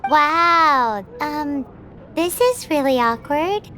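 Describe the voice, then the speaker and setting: An actress voicing a tiny, childlike fairy companion in a video game, bubbly, blunt and squeaky. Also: female voice, animated, drawn-out words, background noise, full character voice. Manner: squeaky, exclaiming, refers to self by name.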